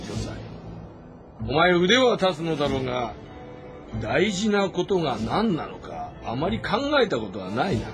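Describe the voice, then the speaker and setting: Proud warrior general, blunt, confident, animated delivery, slightly high pitch, game drama. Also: male voice, a little slow, music in the background. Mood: proud, resolute. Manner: blunt, confident, speaking in rough masculine speech. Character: bold, proud warrior general, gruff and sure of himself. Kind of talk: dramatic audio-novel game acting.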